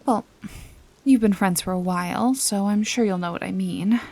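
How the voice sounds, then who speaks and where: A woman playing a teasing, bossy, secretive detective in a noir mystery ASMR roleplay, wry and coaxing with a soft, breathy voice. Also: mid-range voice, moderate pace, natural voice. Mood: brisk, wry.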